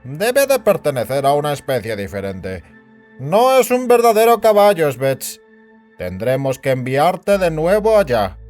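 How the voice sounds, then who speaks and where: Man acting a high-voiced, scheming senior boss. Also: big pitch swings, measured pace, darkish tone. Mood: worried. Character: Rachen, scheming, worried senior boss, melancholy and bossy. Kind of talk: scripted Spanish audio drama acting. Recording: music bed behind the voice.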